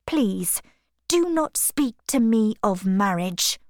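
A woman voicing a proud, composed young gentlewoman in an audiobook, formal and firm. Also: medium pitch, animated, slow, no echo, studio quality. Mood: cold. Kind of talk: audiobook character dialogue.

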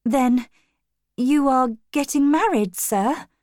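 A woman's voice: high voice, slow, a little mellow, no room sound, clean studio sound, voice pushed up. A woman voicing an earnest young governess, polite and pleading but strong-willed, in a storybook reading. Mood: hesitant, sad.

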